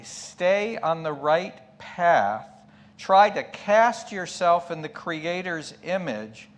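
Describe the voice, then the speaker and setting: A middle-aged or older man giving a sermon as a lay preacher, reflective and emphatic, posing questions and dropping in asides. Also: on the high side. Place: church pulpit. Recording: clear background hum and noise.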